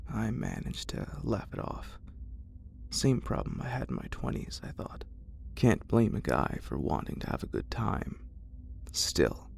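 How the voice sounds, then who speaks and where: A man reads a horror story in the first person as ASMR, in a breathy, gravelly, largely whispered voice. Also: extremely low pitch, a little hiss or hum.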